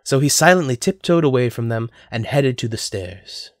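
Guy reading a story as narrator, mid pitch, close dry sound. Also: expressive, moderate pace, no room sound.

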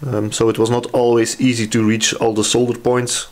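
A man's voice: mid-range voice, little pitch movement, moderate pace, a little mellow. A man presenting a hands-on restoration casually, hesitant and thinking aloud as he explains each step. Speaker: hobby radio restorer presenting a repair. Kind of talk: casual hands-on repair walkthrough.

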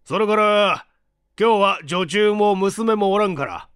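An irascible old scientist in an audiobook story. He is bossy and gruff, barking in an old man's idiom at a high pitch, with a close, dry sound. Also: measured pace, fairly bright tone, no room sound. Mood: irritated, barking. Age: older. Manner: barking, old-fashioned elderly speech.

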